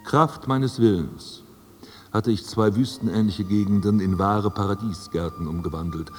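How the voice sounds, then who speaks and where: A man's voice: fairly low pitch, normal speed, lightly acted. A male actor plays a dead aristocratic prince in a radio drama, haughty and wryly indignant. His diction is ornate and pompous. The voice rings in a long hall reverb, with faint music beneath.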